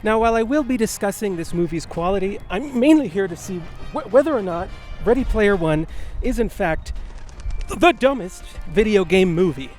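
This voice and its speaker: A man's voice: high voice, animated, normal speed, darkish tone, faint music behind. A man hosting a video game channel, narrating a comedic scripted movie review with sarcastic, self-deprecating jokes.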